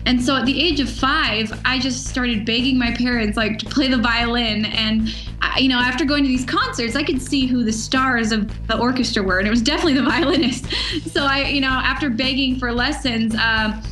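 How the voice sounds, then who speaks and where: A woman's voice: a little high, fairly bright tone, soft background music. Bubbly young American woman. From United States. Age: 20s. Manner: bubbly, quick, lots of fillers. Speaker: violinist guest. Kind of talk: casual interview.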